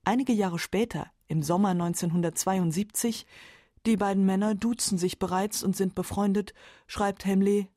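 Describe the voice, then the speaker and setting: A woman narrates a scripted radio documentary. Her voice is mellow and relaxed, recorded very dry. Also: medium pitch, normal speed, dry recording.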